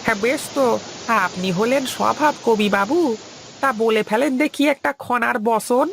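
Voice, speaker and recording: A woman voicing a cheeky, grumbling male household servant in a cartoon, with a creaky voice and a mocking, whiny manner. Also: a little high, moderate pace, a little hiss or hum, rough recording. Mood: teasing. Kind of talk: Bengali cartoon voice acting.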